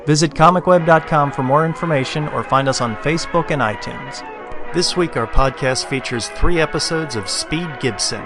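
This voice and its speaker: A man's voice: fairly high pitch, normal speed. Man giving a plain podcast intro over music. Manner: plain, informative. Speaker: podcast host.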